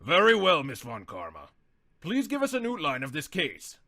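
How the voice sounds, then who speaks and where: Man playing a high, befuddled old judge. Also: wildly swooping pitch, moderate pace, background noise. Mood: formal. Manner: hesitant, stammering, flustered. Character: befuddled, blustering old male courtroom judge. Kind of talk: game fan dub acting.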